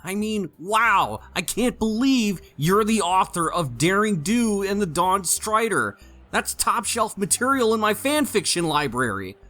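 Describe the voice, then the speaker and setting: A man's voice: high-pitched, sing-song, a little slow, pushed and bright, soft background music, full character voice. A man voicing a gushing, nerdy, chubby pony stallion fan in an audio play, talkative and opinionated. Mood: starstruck. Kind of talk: audio play character acting.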